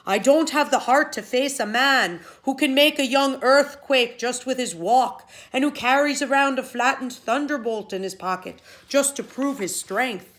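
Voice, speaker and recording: A woman voicing an anxious, cowardly giant husband from a storybook, protesting loudly. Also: a little high, voice pushed up.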